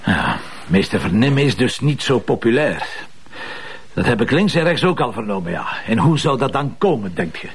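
A man's voice: mid-range voice, very animated, normal speed, breathy edge, exaggerated voice. A man playing a brusque, wry police commissioner and doting father in a Flemish TV drama, colloquial and impatient. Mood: probing, sardonic. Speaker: actor in a TV police series. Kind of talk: naturalistic TV drama dialogue.

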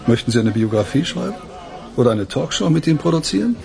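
An actor playing a gruff, wary boss with shady connections, curt and sarcastic, in a German radio crime drama. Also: male voice, fairly high pitch, sing-song, fast, a little mellow.

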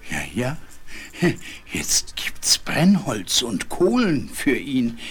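An actor playing a grumbling, wistful old chained dog with a husky voice in a children's fairy-tale audio drama. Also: medium pitch, wildly swooping pitch, drawn-out words, exaggerated voice. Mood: dreamy, relishing. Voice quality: husky, lost his fine voice.

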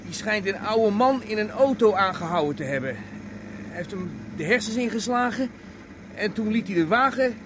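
A high-pitched, nervy outcast executioner in a radio play. He hesitates, stammers and keeps defending himself. A faint rumble of a car runs behind him. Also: expressive, moderate pace. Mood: grim, uneasy. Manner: hesitant, stammering, self-justifying. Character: nervous, defensive executioner, a lonely drinking outcast. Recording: noisy radio play recording, car sounds. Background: car engine and road noise.

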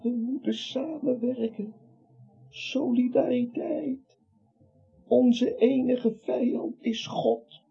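An irritable dying man in an absurd radio comedy, wry to the end. The voice is very raspy and mellow, recorded dull and lo-fi. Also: extremely high pitch, slow pace, full character voice. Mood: feverish, raving. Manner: testy, wry. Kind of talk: absurd comic radio play acting.

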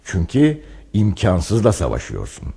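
A man's voice: sits low, huge pitch swings, unhurried, creaky, dark tone, breathy edge, exaggerated voice. An actor playing a wry, knowing male storyteller in a Turkish radio play, with a theatrical, teasing delivery. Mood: insistent, knowing. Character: wry male storyteller who chats knowingly with his hero. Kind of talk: Turkish radio theatre acting, narration and dialogue.

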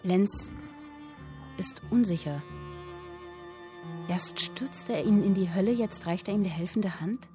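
An actress playing a woman who tells a literary tale in a radio play, with measured, dramatic, deliberate phrasing. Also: medium pitch, music in the background, natural voice. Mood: measured, wary. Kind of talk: literary radio play storytelling in present tense.

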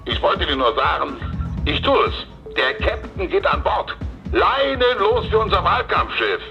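An actor playing an excitable, grandiose middle-aged businessman on the phone in a radio drama. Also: high-pitched, a little slow, gravelly, full character voice. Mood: triumphant. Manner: grandiose, nautical flourishes. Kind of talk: German radio drama acting. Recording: dull lo-fi phone call, music behind.

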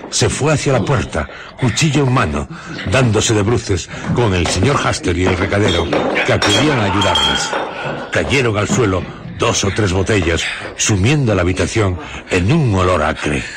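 Deep-voiced male radio drama narrator. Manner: measured, literary.

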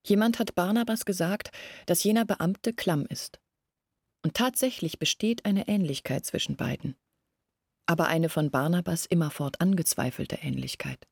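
An anxious village woman in a literary radio drama, thinking aloud earnestly and deliberately. Her voice sits a little low, with a breathy, husky grain. The sound is very dry and intimate. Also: a little mellow, dry recording. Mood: reflective, sceptical. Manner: earnest, deliberate, searching, questioning herself. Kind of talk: literary radio play acting, long monologues. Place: dry studio.

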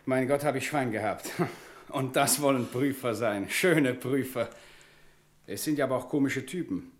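An actor in a 1970s German radio play, playing a cocky, irresponsible bank clerk with a brash, emotive manner. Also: male voice, mid-range voice, normal speed, close to an everyday voice. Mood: gleeful, mocking.

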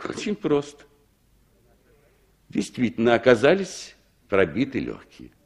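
An actor playing a middle-aged former war commander who recalls his survival with unhurried, expressive pauses, in a 1960s radio drama style. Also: medium pitch, slightly rough, heavily acted. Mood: matter-of-fact, reflective.

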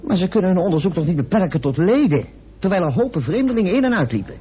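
An actor playing a chummy, easily indignant British parliamentarian in a Dutch radio play, full of questions and exclamations. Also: male voice, high-pitched, sing-song, fast, a little hiss or hum. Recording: narrow, phone-like old radio recording.

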